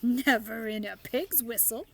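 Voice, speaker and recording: A woman's voice: fairly high pitch, unhurried, vocal fry, fairly bright tone, heavily acted. A woman voicing a big friendly male giant in a storybook, with garbled folksy grammar and made-up words. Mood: emphatic.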